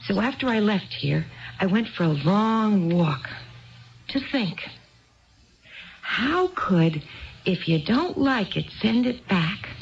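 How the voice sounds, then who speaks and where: A woman's voice: mid-range voice, lively intonation, slow, gravelly, long pauses, slight background noise. An actress playing an earnest, tipsy married woman who rambles wistfully in a 1970s radio drama. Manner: wistful, digressive, repeats herself. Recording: dull lo-fi 1970s radio broadcast.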